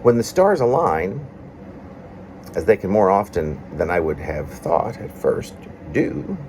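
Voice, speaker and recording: Very deep-voiced man reading reflectively, faint hum. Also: big pitch swings, a little mellow. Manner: meandering, halting phrasing with pauses. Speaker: poet reading own work. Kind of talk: reflective reading of a personal essay-poem.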